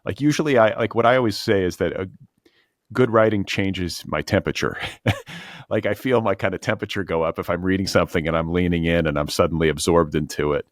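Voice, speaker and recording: A man's voice: sits low, fast, dry recording, clean studio sound. A man hosting a podcast in a casual interview style, musing informally and circling around his point. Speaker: podcast host.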